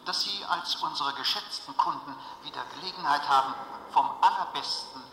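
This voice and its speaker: Ancient automated planetary announcer, distant in a reverberant hall, unctuously polite and bureaucratic. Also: male voice, fairly high pitch, normal speed, bright, forceful tone. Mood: politely menacing. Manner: formal, unctuously polite officialese. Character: ancient recorded planetary answering announcement, excessively courteous and bureaucratic. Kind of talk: audio drama acting as taped public announcement. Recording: distant, echoing hall sound with noise.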